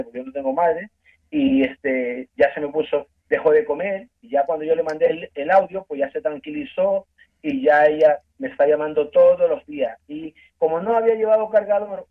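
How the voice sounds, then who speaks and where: A man from Ecuador with an Ecuadorian accent, earnestly telling his story as a guest in a phone-in interview, halting and restarting often. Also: fairly high pitch. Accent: Ecuadorian Spanish. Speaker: guest giving a testimony. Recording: tinny phone line.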